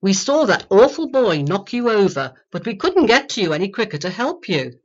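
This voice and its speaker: A woman's voice: big pitch swings, normal speed. A woman doing a fussing elderly neighbour lady. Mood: concerned. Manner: high fussy voice. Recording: dull lo-fi recording.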